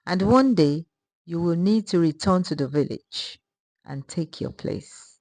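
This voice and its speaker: A woman narrator voicing a calm, firm father in a storybook tale, speaking in his steady, serious tone. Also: lowish voice, unhurried, dry recording, low-quality recording.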